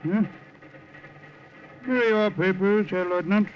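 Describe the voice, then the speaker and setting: An actor playing an officious, formal German train inspector with a German accent in a 1950s radio drama. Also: male voice, high voice, measured pace. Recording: noisy, muffled vintage radio broadcast.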